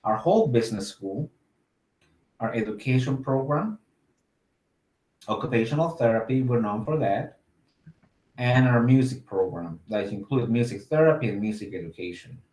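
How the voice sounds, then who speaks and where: A man's voice: mid-range voice, lively intonation, normal speed, pauses often, darkish tone, reverberant, low-quality recording. A man from Mexico, an admissions officer, answering interview questions in a casual, upbeat way with many fillers.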